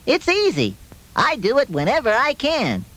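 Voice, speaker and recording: Man playing a cheerful, very animated puppet citizen. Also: very high-pitched, normal speed, soft background music, exaggerated voice. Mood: cheerful, eager. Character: cheerful male puppet, an earnest good citizen. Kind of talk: children's fire safety puppet show.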